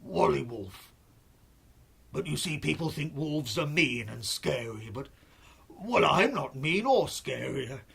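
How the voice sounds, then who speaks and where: A storyteller voicing a sad, gentle, lonely male wolf in a subdued, hesitant way for a children's bedtime story. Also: mid-range voice, expressive, slow, vocal fry, husky, full character voice.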